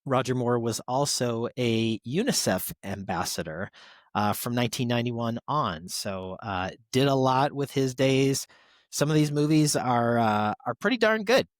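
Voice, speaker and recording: A man's voice: medium pitch, expressive, unhurried, no echo, clean studio sound. A man hosting a podcast, reading out tributes in a conversational, stop-and-start way with casual asides. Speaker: podcast host.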